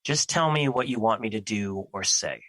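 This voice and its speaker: A man plays a stern, earnest personified emotion in an audio drama. The voice is bright and forceful, recorded dry and close. The phrasing is formal and stiff, with irritation never far off. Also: lowish voice, lively intonation, normal speed, no room sound.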